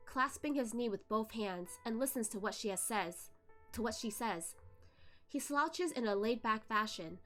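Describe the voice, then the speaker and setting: A woman narrating a web novel for a read-along, expressive and careful with a dramatic delivery. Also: on the high side.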